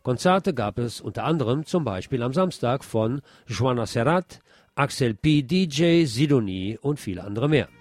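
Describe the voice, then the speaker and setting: A man's voice: medium pitch, expressive, moderate pace, a little mellow. A man hosting a radio magazine, reading scripted news reports in a presenter's role. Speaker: radio host and presenter, also an author.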